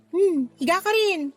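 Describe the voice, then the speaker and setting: A woman playing a cheerful, friendly young provincial woman in a Tagalog radio drama, playful and expressive. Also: extremely high pitch, no echo, heavily acted. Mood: playful, warm. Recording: music under the voice.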